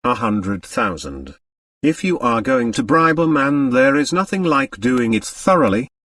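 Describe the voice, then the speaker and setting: A man voicing a sardonic, carefree gentleman in an audiobook novel, with an easy mocking, ironically polite manner. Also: medium pitch, big pitch swings, a little slow, no echo, studio quality, far from the mic. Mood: light, amused. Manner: ironically polite, light and amused.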